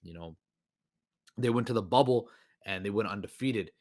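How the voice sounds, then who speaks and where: Male podcast host, hoarse, low-pitched, dry close recording, loose rambling delivery. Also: normal speed, a little mellow, no room sound. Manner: rambling, many fillers and restarts. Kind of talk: solo sports podcast commentary.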